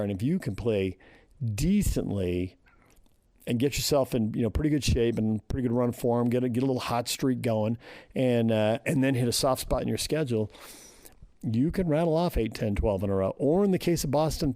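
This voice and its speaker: A man's voice: medium pitch, mellow, no echo. An American man hosting a sports radio show, talking casually and thinking aloud in short phrases.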